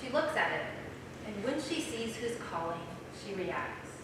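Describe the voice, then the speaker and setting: Young woman narrating stage directions, mid pitch, far from mic, noisy room. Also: very animated, a little slow. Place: small theater stage. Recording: very echoey, noisy theater, distant mic.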